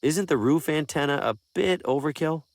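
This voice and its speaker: A man's voice: a little high, moderate pace, dry recording, studio quality, close to an everyday voice. An actor playing a bemused, jittery traveling salesman with wry asides in an adventure video game. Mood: curious, dry. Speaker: player character in adventure game.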